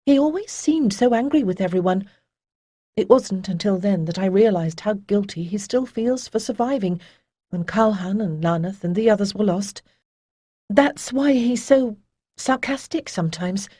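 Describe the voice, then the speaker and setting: Seilast, a steady young bride, as a woman reads her. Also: medium pitch, normal speed, husky, no room sound, studio quality, voice pushed up. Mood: gentle.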